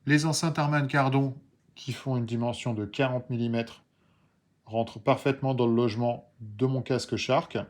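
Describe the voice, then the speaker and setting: A man presenting a product unboxing, explaining each item step by step. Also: medium pitch. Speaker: motorcycle gear video creator.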